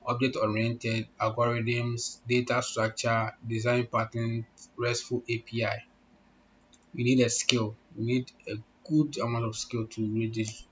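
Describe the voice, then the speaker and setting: A young man talks through an online job application at his computer. He repeats himself, asking "okay?" and "do you understand?" along the way. His voice sits mid-range and sounds a little muffled, with faint keyboard clicks behind him. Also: slight background noise. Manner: repetitive, instructive, checks in with the listener. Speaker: online tutorial host. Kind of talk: screen-recorded walkthrough. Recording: muffled low-fidelity audio.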